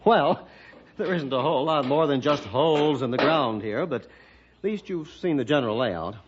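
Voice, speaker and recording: A man's voice: mid-range voice, expressive, moderate pace, music in the background, close to an everyday voice. An actor playing a genial, confident young small-town businessman with an American accent, chatty and easygoing, in a 1960s radio drama. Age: young adult. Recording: muffled, faintly noisy old radio recording.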